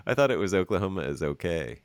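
A man's voice: deep voice, sing-song, fast talker, clean tone, dry recording. A man hosting an interview podcast, chatting casually, teasing his guest and laughing often. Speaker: podcast host.

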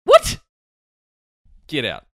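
A man's voice: very slow, fairly bright tone, no echo, studio quality. A man with a cold and a nasal voice, hosting a podcast in a chatty, teasing way. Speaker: podcast host. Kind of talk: casual chat.

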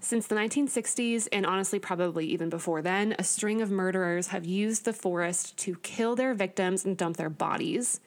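A woman's voice: medium pitch, moderate pace, hardly any pauses. A woman co-hosting a podcast, reading through researched notes in a casual, earnest way with small stumbles and asides. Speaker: podcast co-host.

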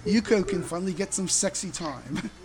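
A podcast host chatting casually about fandom, halting and searching for words on an amateur recording. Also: medium pitch, expressive, crowd noise. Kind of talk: casual chat about pop idol fandom.

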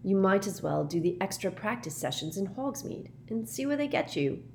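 A woman's voice: medium pitch, very animated, moderate pace, gravelly, slight background noise, pitched above the performer's own voice. A woman voicing an earnest, reasonable teenage boy in an audiobook, in a matter-of-fact manner.

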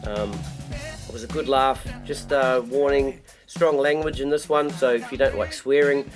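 A man hosting a podcast, relaxed and matey, calling his guest "bro". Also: mid-range voice, normal speed, soft background music. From New Zealand. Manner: laid-back, matey, says "bro" a lot. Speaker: podcast host. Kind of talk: casual interview chat.

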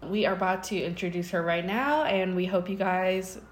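A woman's voice: on the low side, fairly even intonation, moderate pace. A woman co-hosting a podcast, chatting in an informal, enthusiastic way. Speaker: podcast co-host. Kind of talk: casual chat. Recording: roomy echo with clear background noise.

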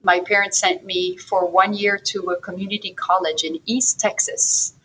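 A woman's voice: medium pitch, steady tone, moderate pace, fairly bright tone, a little hiss or hum, poor audio. A native French-speaking woman from France with a French accent, talking casually as an interview guest.

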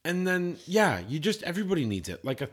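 A man's voice: a little high, quick pace. A man hosting a podcast, talking casually with lots of "like" and "um" and laughing through stories. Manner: lively, laughing storyteller with loose fillers. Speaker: podcast host. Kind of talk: casual chat.

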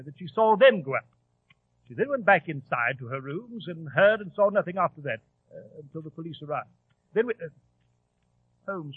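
An actor playing a genial, puzzled English gentleman, a blustery and hesitant sidekick in a 1940s radio drama. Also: fairly high pitch, husky, no room sound. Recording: tinny, narrow old radio recording.

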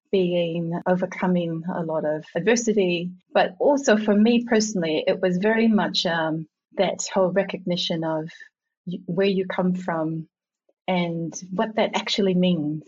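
A husky, slightly low-voiced woman from Samoa speaks as an interview guest. She is reflective and laughs easily, often restarting her sentences. The recording sounds dull and lo-fi. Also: darkish tone. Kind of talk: conversational interview.

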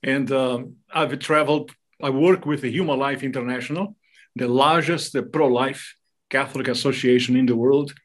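A man's voice: mid-range voice, fairly even intonation, moderate pace. Brazilian man speaking as an interview guest. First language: Portuguese. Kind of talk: interview talk, explaining devotional topics.

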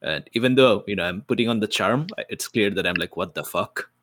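Slightly low-voiced man playing tabletop game. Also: quick pace, fairly bright tone. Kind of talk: casual roleplay chat.

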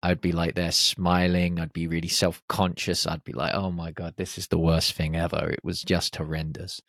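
Deep-voiced animated podcast host man. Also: normal speed, no room sound, clean studio sound. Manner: lively, animated storytelling with exclamations. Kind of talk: solo podcast monologue. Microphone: podcast microphone.

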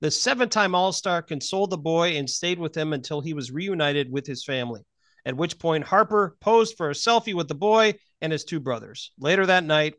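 A man's voice: fairly high pitch, expressive, normal speed, fairly bright tone, no echo. An American man hosting a local radio show, presenting upbeat news and interviews.

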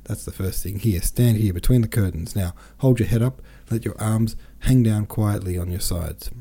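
Man reading as a stern, low-voiced father. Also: moderate pace, warm tone, breathy edge. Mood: stern correcting. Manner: curt, commanding. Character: stern, cold, impatient father and senator.

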